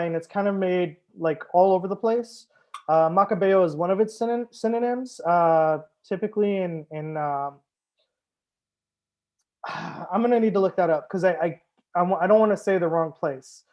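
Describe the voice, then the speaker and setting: A man's voice: high voice, darkish tone. A man hosting a live wine tasting as a sommelier, chatting casually with viewers, joking and swearing now and then. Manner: chats with viewers, answers comments. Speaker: sommelier host.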